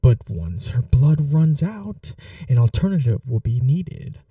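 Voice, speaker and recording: Dark, velvety scheming prince, acted by a man. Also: mid-range voice, very animated, no echo, studio quality, distant mic. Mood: coldly justifying. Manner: soft-spoken, deliberate, formal, coldly menacing tenderness. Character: scheming evil young prince, possessive devoted lover. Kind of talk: ASMR romantic fantasy roleplay monologue.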